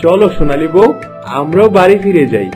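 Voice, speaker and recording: A man's voice: on the high side, very animated, brisk, mellow. A man playing an earnest, devoted fisherman husband in a Bengali fairy-tale cartoon. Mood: relieved. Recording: faint music behind the voice.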